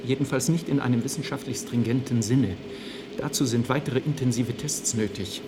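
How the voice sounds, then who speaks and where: An actor in a German radio play, playing an earnest company doctor who is formal, insistent and indignant. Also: male voice, medium pitch, normal speed, background noise, natural voice. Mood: worried, insistent.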